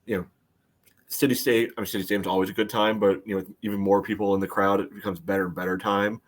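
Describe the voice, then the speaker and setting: A man with a fairly low voice speaks as a sports podcast panelist. His delivery is casual and halting, full of small filler phrases as he works toward each point. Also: flat delivery. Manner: hesitant, filler-heavy phrasing with frequent "you know".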